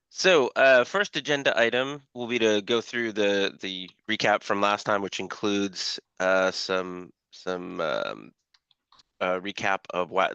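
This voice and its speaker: A man chairing an online working-group call, his voice a little scratchy from allergies, talking haltingly with many fillers. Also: fairly low pitch, pushed and bright, clear, resonant tone, no echo. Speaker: meeting chair. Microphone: video call. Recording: dull lo-fi call audio.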